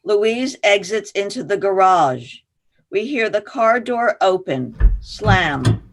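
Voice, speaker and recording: A woman narrates a play, reading its stage directions in a scripted style. Her voice is mid-pitched and moves with very animated intonation. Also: slow, gravelly.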